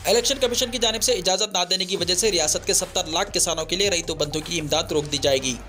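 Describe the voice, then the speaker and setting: A man's voice: high voice, fast talker, pushed and bright, faint music behind. A man reads a scripted news bulletin in a formal, declarative way. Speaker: news reader.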